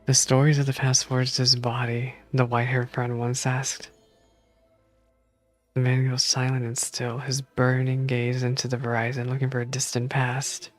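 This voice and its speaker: A man's voice: medium pitch, monotone, normal speed, faint music behind. A man streaming a game, reading story text aloud and reacting casually as he goes.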